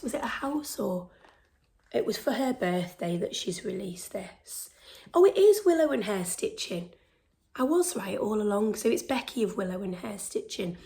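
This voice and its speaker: A hoarse woman chats her way through a show-and-tell vlog, her voice mid-pitched and worn thin. She talks excitedly with plenty of ums, and some phrases fade into a breathy whisper. A faint hiss sits under the recording. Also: low-quality recording. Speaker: stitching vlog host. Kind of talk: casual show-and-tell chat to camera.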